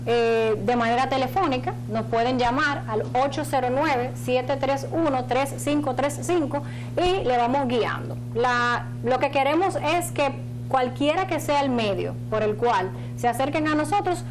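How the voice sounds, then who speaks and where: A woman in her thirties explaining procedures as a studio guest, in halting phrases with repeated words. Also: high-pitched, a little hiss or hum, low-quality recording. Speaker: financial agency spokeswoman, studio guest. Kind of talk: explanatory interview talk. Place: small indoor TV studio.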